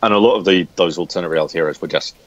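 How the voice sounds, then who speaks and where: Very deep-voiced man, hesitant interviewee. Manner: hesitant, halting delivery with repeated words. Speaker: interviewed comic book writer. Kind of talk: casual interview chat. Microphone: smartphone recorder. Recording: dull lo-fi phone recording.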